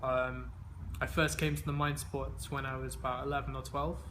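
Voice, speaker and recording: Bright-voiced young man, easygoing. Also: mid-range voice, level tone, moderate pace, a little hiss or hum. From England. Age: 20s. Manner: easygoing, many fillers and restarts. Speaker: interviewee, games player. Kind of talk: casual interview answers.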